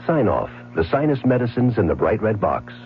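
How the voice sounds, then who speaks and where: A man announcing a vintage radio commercial, in a smooth, persuasive pitch. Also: big pitch swings, a little fast. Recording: muffled old radio ad with music.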